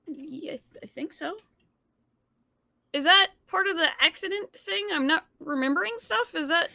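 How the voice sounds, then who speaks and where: A woman playing a forgetful, earnest young vampire in a tabletop game, stammering and halting as she talks. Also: extremely high pitch, gravelly, pushed and bright, muffled recording, pitched above the performer's own voice, heavily acted. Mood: confused, unsure.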